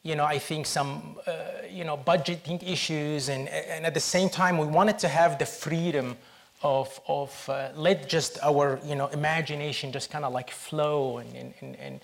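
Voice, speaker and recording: A man from Syria, a film producer answering interview questions in a halting, earnest way with many restarts. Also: fairly high pitch, fairly even intonation, vocal fry.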